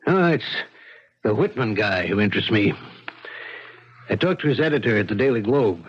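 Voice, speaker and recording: An actor playing an earnest, plain-spoken police lieutenant with a husky voice and halting, informal cop talk, in a 1970s radio mystery. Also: male voice, fairly low pitch. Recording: dull 1970s radio recording.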